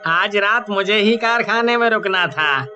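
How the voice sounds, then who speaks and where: A plaintive factory worker, voiced by a man in a horror cartoon, chats in a high, bright voice. The pace is a little slow, with light music behind. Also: dull audio, exaggerated voice. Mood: agreeable. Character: weary, grumbling male factory worker, chilly. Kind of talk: Hindi cartoon voice acting.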